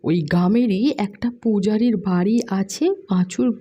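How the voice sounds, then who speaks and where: A woman narrating a children's cartoon story in a steady storytelling manner. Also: medium pitch, moderate pace.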